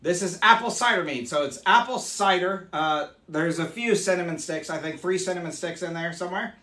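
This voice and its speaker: A man in his forties, hoarse from losing his voice, chatting upbeat as he demonstrates mead making live in a small room. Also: high voice, fairly quick, pushed and bright, reverberant. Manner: chatty, upbeat, explains as he works. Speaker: meadery owner hosting a live stream. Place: small home meadery room, indoors.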